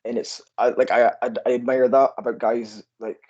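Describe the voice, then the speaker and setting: Man, Aberdeen accent, husky voice, dull lo-fi sound, easygoing chat. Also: mid-range voice, level tone, normal speed, darkish tone. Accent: Aberdeen Scottish. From Scotland. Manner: easygoing, filler-heavy, enthusiastic. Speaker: wrestler guest. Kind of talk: casual interview chat.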